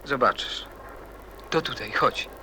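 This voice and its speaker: A man's voice: mid-range voice, slow pace, bright, forceful tone. A man playing a moody, teasing teenage boy in a radio play, nonchalant, laconic and secretive. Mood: assertive. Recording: noticeable background noise and ambience.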